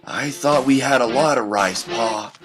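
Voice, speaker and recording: A man's voice: mid-range voice, unhurried, music in the background, natural voice, poor audio. A man playing a famished, unhinged country son in a surreal audio drama, morose and repetitive in short phrases. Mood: morose, pleading. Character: unhinged, famished country boy pleading with his father.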